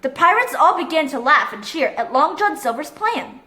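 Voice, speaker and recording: A high-voiced woman reads a children's story aloud with very lively intonation. Her delivery is expressive and bright, and the room adds a noticeable echo. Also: normal speed, slight background noise. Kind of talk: expressive read-aloud narration.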